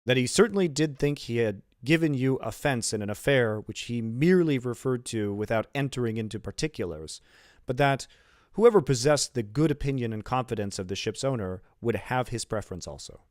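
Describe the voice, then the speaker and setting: A man's voice: mid-range voice, expressive, brisk, darkish tone, dry recording, clean studio sound, natural voice. A man voicing a hearty, earnest shipowner in an audiobook, courteous and formally worded. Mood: warm, businesslike.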